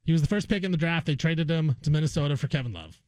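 Male sports radio co-host, high voice, emphatic and opinionated, repeats himself to drive points home, dry studio sound. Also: fast, darkish tone, no echo. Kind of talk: opinionated sports talk debate.

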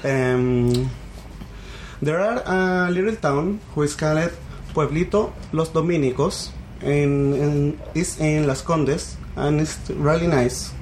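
A Chilean man with a Chilean Spanish accent, speaking slow, halting English as a native Spanish speaker in a casual chat. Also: fairly high pitch, a little hiss or hum, low-quality recording. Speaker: local guest.

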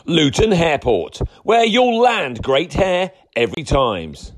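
A man plays a vain kidnapper in a comic audio drama, with a fairly high, very animated delivery. He is a pompous radio voice man, theatrical and bent on revenge. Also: slow pace, heavily acted. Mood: gloating. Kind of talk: amateur comedy audio drama acting.

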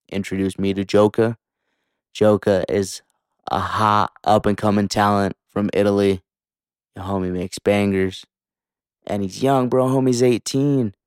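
Low-voiced man, casual slangy podcast host. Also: darkish tone, smooth, no echo, studio quality. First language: English. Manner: informal, hyped slangy talk with swearing.

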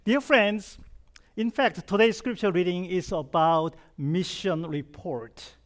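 A man's voice: high voice, slow pace. A middle-aged man from South Korea with a Korean accent, preaching a chatty, humorous sermon and asking the congregation questions. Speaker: pastor. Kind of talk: conversational sermon.

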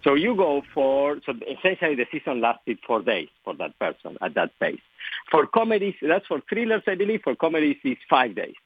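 A man's voice: fairly high pitch, sing-song, a little fast, slightly rough, no echo. Argentine man on a tinny line. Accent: Argentine Spanish. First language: Spanish. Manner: thoughtful, explanatory, frequent fillers and self-corrections. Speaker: guest professor. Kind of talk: interview answers. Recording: tinny phone-like line, dry.

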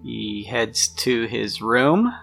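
A man's voice: medium pitch, animated, extremely slow, creaky, fairly bright tone. A man narrating an improvised tabletop roleplaying game as game master. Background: music.

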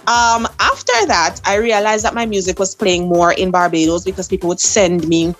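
Young Vincentian woman, soca artist guest, lively anecdotal delivery with easy laughs. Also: on the low side, moderate pace, faint music behind. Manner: lively storyteller, laughs often. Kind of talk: interview storytelling.

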